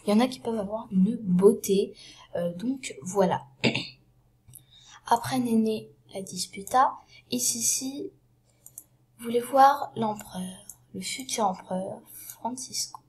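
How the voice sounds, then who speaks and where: A woman with a cold talks through a story as she sets out her props, casual and unscripted. She stumbles and restarts, leans on fillers, and keeps sinking into a hushed, breathy half-whisper. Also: on the high side, slow pace, pauses often. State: has a cold. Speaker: vlogger retelling a story.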